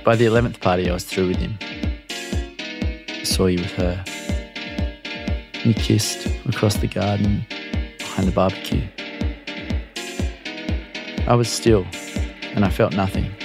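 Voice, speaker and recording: Very deep, creaky male storyteller. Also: steady tone, measured pace, pauses often, over music. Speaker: storyteller reading own short story. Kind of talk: literary first-person narration.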